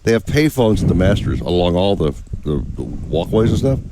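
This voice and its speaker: A man's voice: deep voice, very animated, fairly quick, background noise. A man hosting a fishing radio show, bantering and teasing in a casual, joking way.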